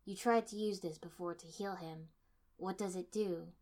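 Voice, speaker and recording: A woman voicing a calm, stiff young male ninja who speaks flatly and matter-of-factly. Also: on the low side, normal speed, close to an everyday voice.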